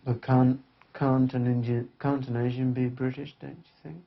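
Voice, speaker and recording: A man's voice: mid-range voice, flat delivery, normal speed, gravelly. A male interviewer asking probing, challenging questions, recorded on dull 1980s documentary audio.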